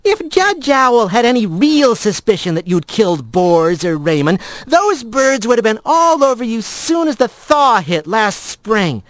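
A man's voice: high voice, very animated, measured pace, hardly any pauses, dry recording, voice pushed up. A man voicing a brash, teasing young female woodland animal with a wry, casual manner in a storybook. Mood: needling. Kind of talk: animal fantasy audiobook character.

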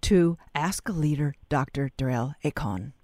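Formal woman host, lowish voice. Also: expressive, moderate pace, darkish tone, dry recording, clean studio sound. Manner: formal, deferential, careful phrasing. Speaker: radio interview host. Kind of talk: public affairs interview.

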